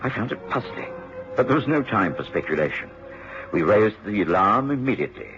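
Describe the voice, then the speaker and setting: An actor playing a hearty, mild middle-aged English doctor, a detective's companion, in a radio drama. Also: male voice, fairly low pitch, big pitch swings, fairly quick. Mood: grave narration. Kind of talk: radio drama acting and narration. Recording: dull old radio recording with music.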